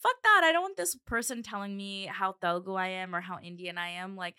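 Bright-voiced chatty woman. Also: mid-range voice, a little fast, smooth, dry recording, studio quality. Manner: chatty, emphatic, heavy use of "like". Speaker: podcast co-host. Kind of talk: casual chat.

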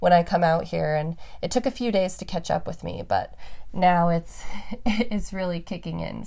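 A woman's voice: on the low side, darkish tone. A woman hosting a podcast, talking upbeat and enthusiastic while stuffed up from allergies. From Nevada, USA. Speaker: podcast host. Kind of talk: solo podcast talk.

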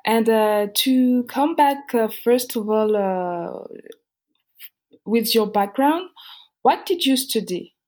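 Breathy-voiced woman asking questions. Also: a little high, lively intonation, takes it slowly, a little mellow. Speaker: podcast host. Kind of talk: interview questions.

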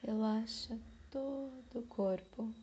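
A Brazilian woman guiding a meditation in a soothing, unhurried manner, with long pauses between short phrases. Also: on the high side, lo-fi sound, slight background noise. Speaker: meditation guide. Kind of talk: slow guided meditation.

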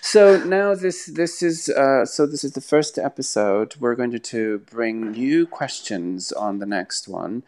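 A man hosting a casual podcast, talking hesitantly with many pauses and restarts. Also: mid-range voice, animated, a little mellow. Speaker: podcast host.

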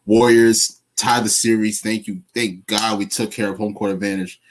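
A man with a low voice talks sports over a video call. He sounds relaxed and full of slang, and gets animated when a point fires him up. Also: normal speed. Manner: laid-back slang, animated. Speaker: podcast co-host. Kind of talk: casual sports chat.

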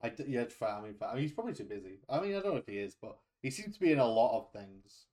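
Male, laid-back podcast guest, dry wit, mid-pitched everyday voice. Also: sing-song, rapid-fire, dark tone. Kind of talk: casual podcast chat.

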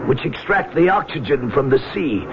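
An actor playing a grave, imperious autocrat of sunken Atlantis in an old radio drama, with stately, formal, declamatory phrasing. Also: male voice, mid-range voice, animated, moderate pace, natural voice. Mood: proud, explaining. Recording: muffled, noisy old radio broadcast recording.

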